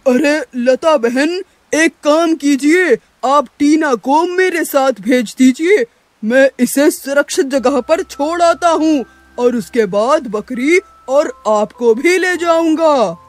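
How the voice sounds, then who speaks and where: A woman voicing a kind, helpful village man, gentle and brotherly, in a children's story. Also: high-pitched, takes it slowly, dry recording. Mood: concerned, helpful.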